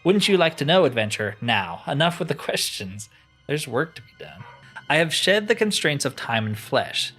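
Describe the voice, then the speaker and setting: Man doing a haughty ancient crystal enchanter, game character, pompous theatrical delivery, lively swooping pitch, faint music. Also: normal speed, husky, exaggerated voice. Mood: dismissive. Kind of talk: reading video game dialogue aloud in character.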